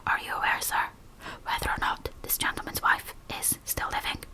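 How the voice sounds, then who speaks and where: A mild, careful clergyman as a woman whispers him asks his questions gently and formally. The whisper is soft, airy and very bright. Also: normal speed, natural voice. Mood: cautious. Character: gentle, cautious male clergyman.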